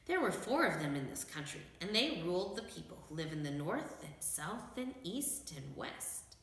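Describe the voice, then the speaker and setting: A woman's voice: on the low side, sing-song, normal speed, fairly bright tone, heavy reverb, rough recording. A woman voicing a very old storybook wizard, meek and apologetic, who reasons and confesses mildly. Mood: confessional. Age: older.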